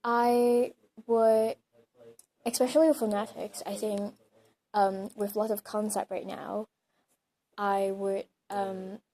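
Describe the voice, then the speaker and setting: A woman's voice: mid-range voice, little pitch movement, slow pace, dark tone. Young woman, chatty and hesitant. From Hong Kong. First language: Cantonese. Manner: thinks aloud, many "like" and "um" fillers. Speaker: interviewee, bilingual student. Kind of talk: casual interview answers.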